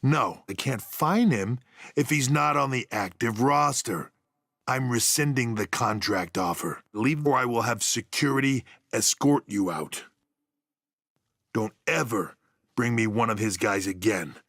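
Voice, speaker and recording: A man's voice: mid-range voice, animated, unhurried, vocal fry, a bit bright, no echo, clean studio sound, heavily acted. A man playing a stern, authoritative American wrestling executive in a video game, blunt in delivery. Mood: cold, dismissive. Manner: blunt, scolding. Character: stern, authoritative male wrestling company boss. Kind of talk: video game cutscene acting.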